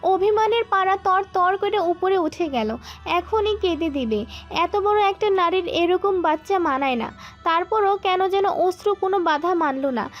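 A woman's voice: extremely high pitch, unhurried, lo-fi sound, faint music behind. A woman reading a scripted romantic story as its narrator.

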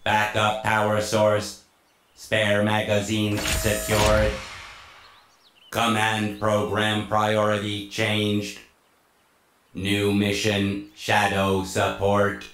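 Monotone combat robot. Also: male voice, on the low side, drawn-out words, reverberant, a little hiss or hum. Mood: emotionless. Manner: flat, clipped, mechanical. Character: huge, stern, obedient male combat robot. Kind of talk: video game cutscene acting.

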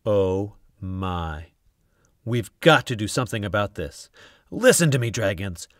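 A man reading a children's picture book aloud, expressive and theatrical, with dramatic drawn-out emphasis. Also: fairly low pitch, no room sound, studio quality. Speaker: storybook reader.

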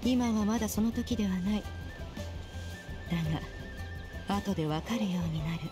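An actress playing an ancient, haughty crane spirit who speaks in lofty, old-fashioned, measured phrases in a video game. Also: female voice, mid-range voice, music in the background, natural voice. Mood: cryptic. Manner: archaic, lofty, measured speech. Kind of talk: Japanese game voice acting.

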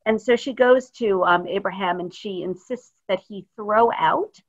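A woman's voice: mid-range voice, unhurried. Woman rabbi on a dull video call. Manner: thoughtful, searching for words. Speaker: rabbi, guest speaker. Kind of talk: informal teaching talk.

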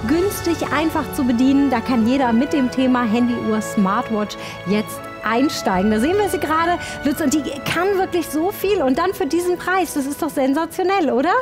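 A woman's voice: sits high, normal speed, hardly any pauses, over music. A woman presenting on shopping TV, gushing enthusiastically and speaking straight to the viewers. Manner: gushing, addresses viewers directly. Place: TV studio.

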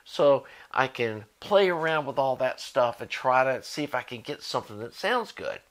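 Male, middle-aged, American accent, medium pitch. Hobby electronics host, conversational and unscripted. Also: fairly bright tone. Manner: conversational, informal, thinking aloud. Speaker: host of an audio electronics channel. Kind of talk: unscripted explainer to camera. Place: indoor home workshop with shelves of gear.

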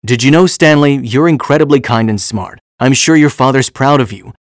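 A man reads as an older male school bus driver in a storybook tale. He is kindly and concerned, with a gentle, reassuring way of speaking at a medium pitch. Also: normal speed, no echo, clean studio sound, lightly acted. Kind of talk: storybook dialogue.

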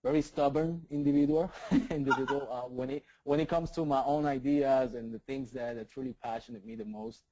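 An eager man in his thirties with a Puerto Rican accent, talking as an interview guest. His voice is mellow and relaxed, recorded dry and close. He often stumbles over repeated words and adds "right?" to his sentences. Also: medium pitch, fairly quick, dry recording, dull audio. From Puerto Rico. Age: 30s. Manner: enthusiastic, repeats words, many "right?" tags. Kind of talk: casual interview talk.

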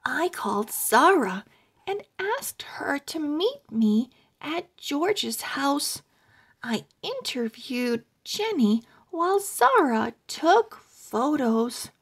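A woman with a high, lively voice reads a children's story aloud. She goes extremely slowly, setting out each phrase deliberately so young readers can follow along. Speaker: host reading children's books aloud. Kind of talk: slow, expressive children's read-aloud.

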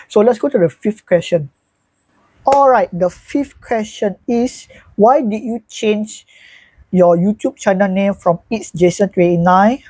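A man's voice: high-pitched, dark tone, husky, dry recording, poor audio. A young man of twenty-one, chatting loosely to camera in a small bedroom and often repeating "so yeah" and "alright, guys". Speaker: YouTuber. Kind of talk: casual vlog talk to camera. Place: small bedroom with a fan, indoors, seated.

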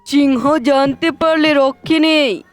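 Lady doing a sneaky flattering kids cartoon fox, really high voice, drawn out and wheedling. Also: takes it slowly, faint music behind. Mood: flattering, coaxing. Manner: wheedling, exclamatory, theatrical. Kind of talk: children's cartoon voice acting.

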